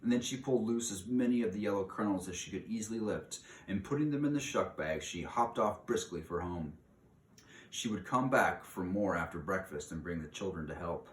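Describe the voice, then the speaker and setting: A man's voice: low voice, lively intonation, fairly quick, distant mic. A man reading a children's book aloud as narrator. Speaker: story reader. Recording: roomy echo, clear background hiss.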